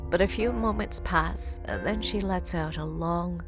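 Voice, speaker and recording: A woman narrating a dark audio drama as a solemn storyteller, in a measured, grave, scripted style. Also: on the low side, over loud music, lightly acted. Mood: grave, suspenseful.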